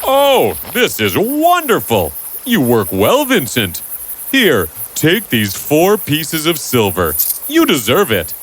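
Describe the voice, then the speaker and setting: A man's voice: on the high side, huge pitch swings, slow, a bit bright, a little hiss or hum, heavily acted. A man voicing a wealthy, jovial and generous businessman in a storybook tale, with a hearty, expansive manner. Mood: pleased, generous.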